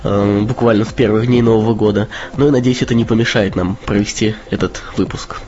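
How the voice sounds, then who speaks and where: A man whose voice is slightly off from a cold co-hosts a casual podcast, pausing often on uh and um. His voice sits at a medium pitch, and the audio sounds dull and lo-fi. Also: steady tone, mellow. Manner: hesitant, with frequent uh and um. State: voice a bit off from a cold. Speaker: podcast co-host. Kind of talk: casual news podcast chat.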